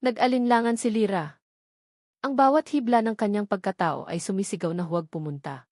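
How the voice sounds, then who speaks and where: A woman with a Filipino accent narrating a scripted romantic audio drama in a measured, dramatic storytelling manner with deliberate pauses. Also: medium pitch, a little mellow, no room sound, clean studio sound.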